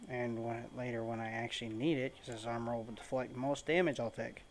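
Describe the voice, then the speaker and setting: Male gaming host, average pitch, casual commentary, rambling, informal, faint game ambience. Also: level tone, fairly quick, slight background noise. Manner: rambling, informal, mild swearing. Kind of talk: casual let's play commentary.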